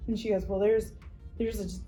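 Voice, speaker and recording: A woman's voice: medium pitch, level tone, moderate pace, dark tone. An American woman, a 911 dispatcher, casually sharing stories with her coworkers. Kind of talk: casual storytelling among colleagues. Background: background music.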